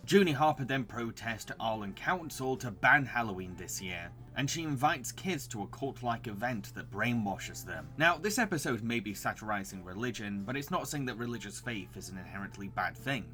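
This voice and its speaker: Bright-voiced man narrating over music. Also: medium pitch, animated, moderate pace, hardly any pauses. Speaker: video essay narrator and reviewer. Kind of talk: scripted narration.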